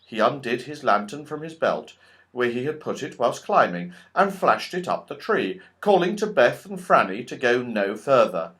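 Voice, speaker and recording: Animated male children's story reader. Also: mid-range voice, normal speed. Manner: expressive storytelling. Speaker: audiobook reader. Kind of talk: scripted children's story narration.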